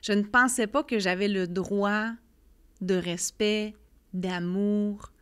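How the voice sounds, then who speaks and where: A woman's voice: medium pitch, slow pace, smooth. A young woman with a Quebec French accent gives candid personal testimony as a podcast guest. She talks earnestly, full of fillers, often voicing her own past thoughts as quotes. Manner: earnest, many fillers, lively storytelling with quoted inner voice.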